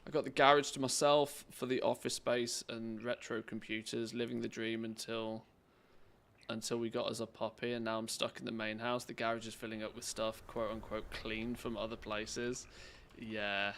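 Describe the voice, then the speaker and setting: A man's voice: lowish voice, flat delivery, normal speed, fairly bright tone. A man streaming a game, chatting casually with his viewers and grumbling at the game between rambling stories. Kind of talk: casual chat while playing a game.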